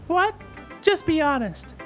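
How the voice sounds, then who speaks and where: Woman doing a bratty high-voiced schoolgirl. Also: very animated, slow pace, a bit bright, heavily acted. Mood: defensive. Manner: blunt, snippy, defensive. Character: bratty, smug, cutesy young schoolgirl, easily riled. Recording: game music under the voice.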